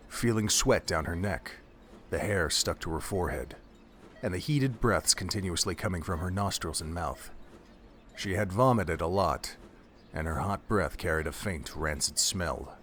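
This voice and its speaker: A man's voice: low-pitched, a bit bright, slight background noise. A man reading dramatic scripted narration for an audio drama, in the manner of an audiobook.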